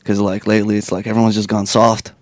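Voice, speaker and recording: Young latvian-born guy rambling easy, lowish voice, dead dry studio mic. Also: level tone, fast talker, a little mellow, dry recording. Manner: rambling, laid-back, heavy on fillers. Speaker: guest rapper. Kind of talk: casual interview chat. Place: radio studio.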